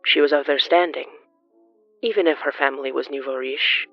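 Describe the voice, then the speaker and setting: A horror fiction narrator reads with measured, theatrical delivery. The recording is dry and a touch dull, with faint music behind the voice. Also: mid-range voice, steady tone, no room sound. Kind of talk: scripted dramatic gothic horror narration.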